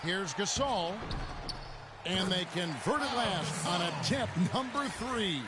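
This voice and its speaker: A man playing a brisk, excitable TV play-by-play announcer in a basketball video game, making clipped calls. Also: high-pitched, very noisy. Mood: excited. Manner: clipped, present-tense calls. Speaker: play-by-play commentator.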